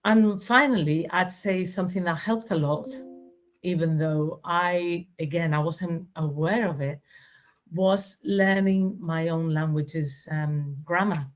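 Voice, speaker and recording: A native Spanish-speaking woman giving a reflective, halting personal account as a webinar panelist, in conversational style. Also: fairly low pitch. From Spain or Spanish-speaking country. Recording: dull, lo-fi online call audio.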